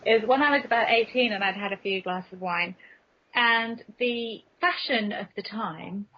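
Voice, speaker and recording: A woman's voice: mid-range voice, moderate pace. A woman talking candidly and casually as a podcast guest, telling comic anecdotes in a self-deprecating way, on a muffled lo-fi recording. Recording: dull, muffled lo-fi podcast audio.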